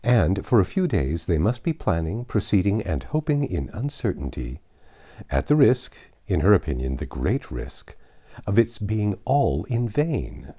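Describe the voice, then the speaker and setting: A man reading a classic novel aloud as narrator, in a measured, formal storytelling manner. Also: low voice, sing-song, dark tone. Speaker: audiobook narrator. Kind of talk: expressive literary reading aloud.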